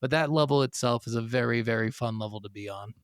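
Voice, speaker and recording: A man's voice: mid-range voice, fast, clear, resonant tone, no echo, studio quality. A man co-hosting a podcast, chatting casually about video games. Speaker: podcast co-host.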